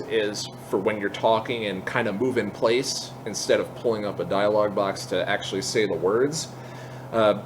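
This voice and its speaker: A man with a medium-pitched voice hosts a software walkthrough. He explains each step casually and off the cuff, correcting himself along the way. There is noticeable background noise under his voice. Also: poor audio. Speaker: tutorial host. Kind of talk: unscripted software tutorial walkthrough.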